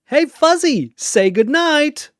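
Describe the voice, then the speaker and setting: A man voicing a cocky, loudmouthed young fighter in a brash, taunting manner for an animated-style battle story. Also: very high voice, slow pace, no room sound, clean studio sound, pitched above the performer's own voice, exaggerated voice.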